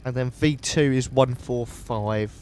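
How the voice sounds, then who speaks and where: A man's voice: medium pitch, measured pace, a little mellow. Sniffly man talking through a sim flight. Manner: methodical, thinks aloud step by step. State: sniffly from a coming cold. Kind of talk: casual live walkthrough of a flight simulator.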